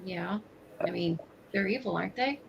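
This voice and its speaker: A woman's voice: lowish voice, moderate pace, vocal fry, muffled recording, slight background noise. A woman chatting casually as a player in a tabletop roleplay, talking through tactics. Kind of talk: casual tactical game chat.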